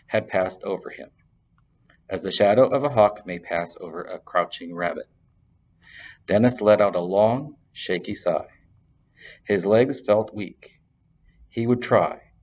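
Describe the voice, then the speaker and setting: Man, fairly low voice, storybook narrator, scripted read-aloud. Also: darkish tone, low-quality recording. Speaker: library reader and presenter.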